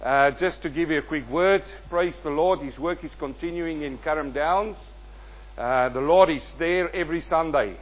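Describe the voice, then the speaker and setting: A male guest preacher delivering a sermon and prayer, quizzing the congregation and swelling loud in prayer before dropping soft. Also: on the high side, moderate pace, muffled recording. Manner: calls out questions to the congregation.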